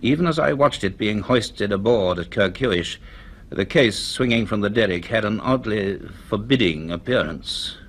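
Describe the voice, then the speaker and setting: Low-voiced, donnish male professor. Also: expressive. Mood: reflective, uneasy. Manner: measured, donnish, deliberate. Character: inquisitive, authoritative male university professor and scientist narrating his tale. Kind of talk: radio drama acting and reflective first-person narration.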